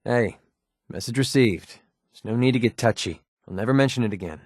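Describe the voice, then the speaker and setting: An actor playing a cynical, wisecracking young mercenary in a video game, with an American accent and a sarcastic, streetwise manner. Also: male voice, medium pitch, a little fast, no room sound, clean studio sound, lightly acted. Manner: sarcastic, streetwise, casual delivery. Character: cynical, wisecracking young male mercenary sniper in a post-apocalyptic wasteland. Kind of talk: video game companion dialogue.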